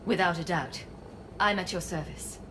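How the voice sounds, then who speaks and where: Earnest, lower-voiced woman scientist played by an actress. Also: normal speed, noisy background, natural voice. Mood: grateful. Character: earnest, grateful female scientist. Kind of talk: video game cutscene acting. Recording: music behind the dialogue.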